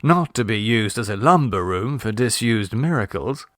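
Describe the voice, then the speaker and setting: A man playing a languid, mischievous young gentleman who speaks in a dry, unhurried, mock-consoling way. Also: lowish voice, expressive, dry recording, lightly acted. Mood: drily amused.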